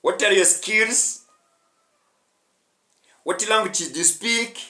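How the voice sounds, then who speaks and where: A man's voice: high-pitched, takes it slowly, bright, forceful tone, reverberant. A young Rwandan man with a Rwandan accent teaching a spoken English lesson, emphatic and often checking in with his listeners. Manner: emphatic, checks in with "huh?" and "hmm?".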